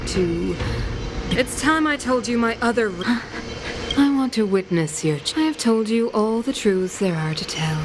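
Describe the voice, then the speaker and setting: A cold imperious queen, slow and grand. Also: on the high side, expressive, background noise. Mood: coolly disdainful. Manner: slow, solemn, commanding. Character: imperious middle-aged female ruler, cold and grandiose. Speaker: villain. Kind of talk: video game cutscene acting. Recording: game audio with loud score. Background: loud orchestral music.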